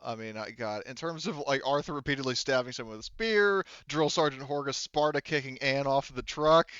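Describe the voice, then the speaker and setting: A man chatting casually as game master at a tabletop game, talking in a rambling, halting way with plenty of swearing. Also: medium pitch, a bit bright, clean tone, no echo, dull audio.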